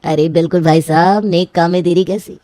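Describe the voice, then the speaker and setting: A woman's voice: on the low side, fairly even intonation, a little fast, mellow, no room sound, close to an everyday voice. A woman playing a boastful, scheming middle-aged father in a story, pushy and cocksure. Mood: smooth, confident.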